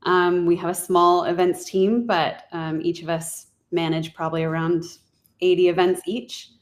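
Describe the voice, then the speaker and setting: A woman's voice: on the low side, level tone, moderate pace. Matter-of-fact events manager woman. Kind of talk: webinar introduction. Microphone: video call.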